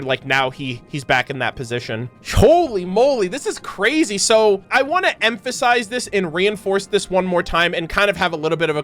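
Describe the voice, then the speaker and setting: A man's voice: fairly high pitch, fairly bright tone. A man giving casual reaction commentary, thinking aloud in a musing, self-questioning way. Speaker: YouTube reactor and game lore commentator. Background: background music.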